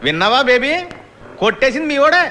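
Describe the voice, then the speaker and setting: An actor playing an indulgent, teasing older-brother figure in a comedy film. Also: male voice, very high-pitched, sing-song, quick pace, sharp tone, full character voice. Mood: amused. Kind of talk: Telugu film comedy acting. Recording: noisy film dialogue track with clicks.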